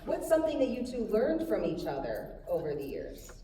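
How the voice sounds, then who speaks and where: A woman's voice: fairly high pitch, very animated, brisk. A woman moderating a convention panel, asking questions with enthusiasm and laughing along. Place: large convention hall. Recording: echoey hall from far off, loud crowd and noise.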